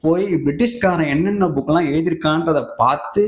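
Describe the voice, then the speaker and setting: A man's voice: on the high side, fast, echoey room. A man with a Tamil Nadu accent giving scornful, colloquial, opinionated political commentary straight to viewers in a combative way.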